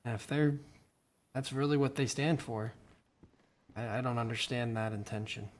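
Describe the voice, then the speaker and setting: A man talking in character during a survival roleplay game, laying out a plan with hesitant pauses. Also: mid-range voice, fairly even intonation. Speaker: roleplay player.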